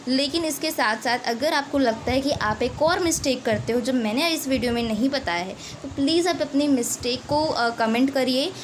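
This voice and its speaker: A woman's voice: sits high, normal speed, hardly any pauses. A woman with an Indian accent teaching a language lesson to viewers as channel host, informal and instructive, repeating key phrases for emphasis. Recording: clear background noise.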